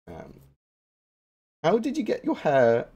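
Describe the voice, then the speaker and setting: A man's voice: a little high, huge pitch swings, slow pace, creaky, dark tone, breathy edge. A young man chatting casually on a live stream, answering viewer questions with lots of fillers, restarts and swearing.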